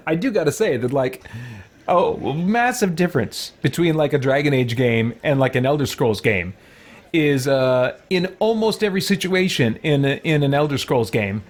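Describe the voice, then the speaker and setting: A man's voice: a little high, expressive, measured pace. A man giving chatty, wry commentary on a game stream, sometimes reading game text aloud.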